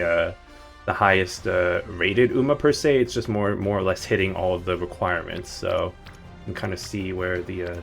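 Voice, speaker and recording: A man with a lowish voice talks his way through a game stream in a loose, rambling, joking way, often backtracking and fixing his words mid-sentence. Quiet music sits faintly underneath. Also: creaky. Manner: rambling, self-correcting, jokey. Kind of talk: casual game-stream commentary.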